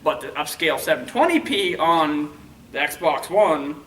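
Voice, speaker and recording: A man's voice: on the high side, lively intonation, a little slow, fairly bright tone. A man co-hosting a show, chatting casually about video game news. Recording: echoey room, distant mic, faint noise.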